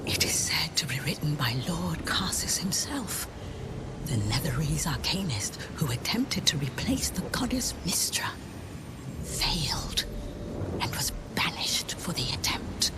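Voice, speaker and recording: An actress voicing a haughty bookseller in a video game, speaking in a measured, condescending and dryly superior way. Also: female voice, low-pitched, very animated, whispered, over loud music. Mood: grave. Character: haughty bookseller guarding a vault of rare tomes. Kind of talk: video game character acting.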